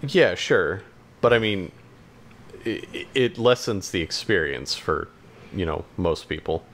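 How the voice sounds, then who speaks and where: A man co-hosting a podcast in casual chat, talking in a hesitant, halting way. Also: deep voice, lively intonation, fairly bright tone. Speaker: podcast co-host.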